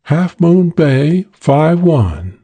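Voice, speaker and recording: A man's voice: fairly high pitch, big pitch swings, extremely slow, dark tone, slightly rough, pitched above the performer's own voice, full character voice. A man voicing a sassy, weary young female hotel switchboard operator in a detective audiobook, with a slangy, sighing, put-upon delivery. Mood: grudging. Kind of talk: audiobook character voice for hardboiled detective story.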